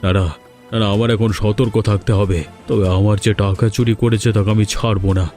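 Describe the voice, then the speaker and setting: A man's voice: on the low side, little pitch movement, fairly quick, darkish tone, soft background music, lightly acted. A man voicing an older rich village headman in a cartoon, fretful and vengeful, who wails and exclaims over a theft. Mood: alarmed. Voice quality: exclaiming, wailing over his loss. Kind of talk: cartoon dubbing.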